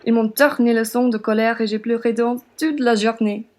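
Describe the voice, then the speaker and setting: A woman's voice: fairly high pitch, steady tone, moderate pace, a little mellow, close to an everyday voice. A young woman acting a spirited, plain-spoken French patriot woman in a radio play. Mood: indignant, proud. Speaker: student actor.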